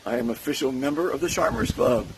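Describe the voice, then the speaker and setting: A man talking casually and enthusiastically to his camera outdoors, his voice going hoarse from overuse. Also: medium pitch, quick pace, noisy background. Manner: upbeat, enthusiastic. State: losing his voice. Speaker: vlogger. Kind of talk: casual vlog chat. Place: outdoors at a resort, windy.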